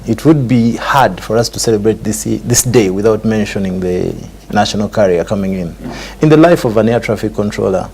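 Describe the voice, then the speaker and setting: A man's voice: lowish voice, fairly quick, hardly any pauses, darkish tone. A man with a Ugandan accent answering conversationally as an air traffic controller in an interview.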